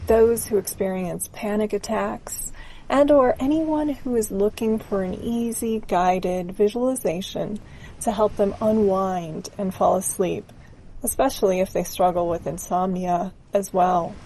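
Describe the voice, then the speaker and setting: A woman narrating a scripted guided meditation in a gentle, soothing, unhurried manner. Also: mid-range voice, slight background noise, low-quality recording.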